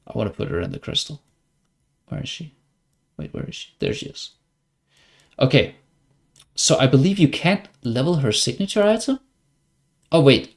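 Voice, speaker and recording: A man from Denmark with a Danish accent, chatting casually and wryly as he comments on his livestreamed gameplay. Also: mid-range voice. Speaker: game streamer.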